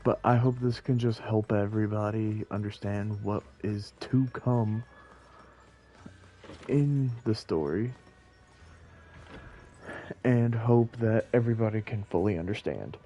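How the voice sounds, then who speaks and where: A man's voice: lowish voice, unhurried, pauses often, mellow. A man giving casual, rambling, self-deprecating live commentary as he streams a game, musing to viewers. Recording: game music behind voice. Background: video game music.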